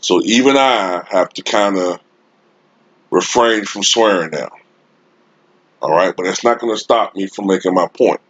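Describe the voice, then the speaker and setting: A man with a deep voice giving an emphatic, informal opinion rant to his viewers as a sports YouTuber, recorded on a desktop USB microphone. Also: fairly bright tone, breathy edge, dry recording, muffled recording. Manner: emphatic, informal, drawn-out delivery with frequent rhetorical tags. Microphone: Blue Snowball-type desktop USB microphone.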